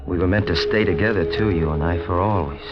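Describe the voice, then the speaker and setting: An actor playing an earnest, desperate, lovestruck bank clerk who speaks haltingly in a 1940s radio drama. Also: male voice, deep voice, lightly acted. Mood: intense devotion. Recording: dull old 1940s radio recording, loud music.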